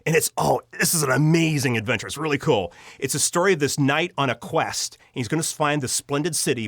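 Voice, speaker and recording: A man's voice: mid-range voice, a bit bright, dry recording. Earnest man telling stories, very dry recording. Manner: earnest, halting, repeats words as he goes. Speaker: audio drama writer and producer, interview guest. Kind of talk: conversational interview storytelling.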